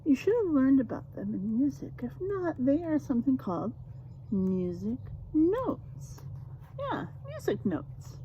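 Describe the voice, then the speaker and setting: A woman reading a picture book aloud to children, teacherly and expressive, stopping to prompt them with questions and leaning on story words for drama. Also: high voice, unhurried, muffled recording, slight background noise.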